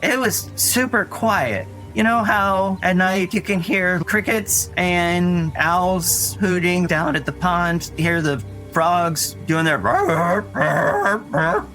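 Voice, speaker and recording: An actor playing an earnest, anxious young boy who tells his story in a rambling, halting way in an audio drama. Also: high-pitched, expressive, pushed and bright, heavily acted, poor audio. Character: Dougie, frightened earnest young boy recounting his brother's disappearance. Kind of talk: naturalistic audio drama acting. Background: music under the voice.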